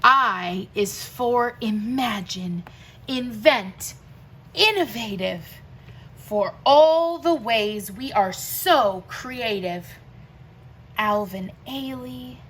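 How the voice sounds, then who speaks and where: A woman reading rhyming verse aloud for children in an expressive way, with deliberate, emphatic phrasing and pauses between phrases. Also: fairly high pitch, slight background noise, poor audio. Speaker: teacher reading a picture book aloud.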